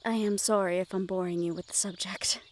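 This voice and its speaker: Formally spoken, gentle fantasy noblewoman, acted by a woman. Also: medium pitch, steady tone, lightly acted. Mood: friendly, curious. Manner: formal, measured phrasing with few contractions. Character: gentle, curious noble young woman, ward of a dragon bodyguard. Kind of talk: fantasy audio roleplay, speaking to the listener. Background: outdoor garden ambience with birdsong.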